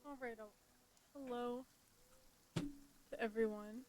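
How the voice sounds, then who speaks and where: A woman's voice: fairly high pitch, steady tone, takes it slowly, poor audio. A tired woman chatting casually as she live-streams a game on a headset mic.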